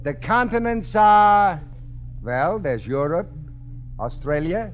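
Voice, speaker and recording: Radio sitcom part: puzzled earnest immigrant pupil, high, slow and halting, faintly noisy vintage sound. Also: male voice, sing-song, exaggerated voice. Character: hesitant, earnest immigrant night-school student, man. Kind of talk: 1950s radio comedy acting. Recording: dull noisy old radio recording.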